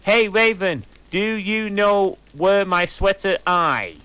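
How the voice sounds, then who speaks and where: A man's voice: sits high, slow, bright, forceful tone, clear, resonant tone, voice pushed up, full character voice. A man voicing a ditzy teenage girl author, airy and drawn out.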